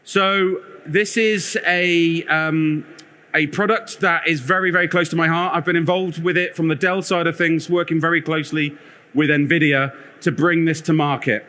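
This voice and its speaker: Loud, bright-voiced man presenting on stage. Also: on the high side, moderate pace. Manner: loud, energetic, self-deprecating, wanders the stage. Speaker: conference speaker, product lead. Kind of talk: live conference presentation. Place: conference theatre.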